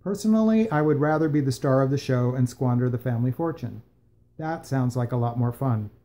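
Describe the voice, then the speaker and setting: A man in his sixties reading a reflective piece aloud for a worship service, with measured, expressive phrasing and deliberate pauses. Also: medium pitch. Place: small room at home, indoors.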